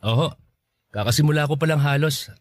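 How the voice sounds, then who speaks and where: A man's voice: a little high, brisk, lightly acted. A man playing an easygoing, friendly fruit vendor in a Filipino radio drama, with a Filipino accent. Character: easygoing male mango vendor, average, friendly.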